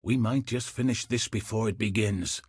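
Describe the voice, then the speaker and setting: A man voicing a grim, dutiful soldier commander in a video game, speaking with husky, solemn, earnest resolve. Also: on the low side, fairly even intonation, moderate pace, no echo, studio quality. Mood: urgent, resolute. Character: grim, dutiful male soldier commander hunting cosmic threats. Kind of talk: scripted video game character dialogue.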